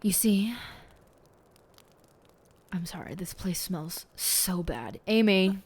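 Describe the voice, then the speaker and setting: A woman plays a self-satisfied female detective in an audio roleplay. She speaks in a slightly low voice at a very leisurely pace, savoring each pompous phrase and giving bossy, teasing orders with theatrical relish. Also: expressive, takes it slowly, husky, full character voice. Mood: boastful.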